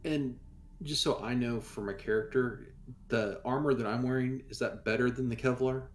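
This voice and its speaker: A man's voice: mid-range voice, fairly even intonation, moderate pace. A man chatting casually as a player in a tabletop game, with slight noise in the background.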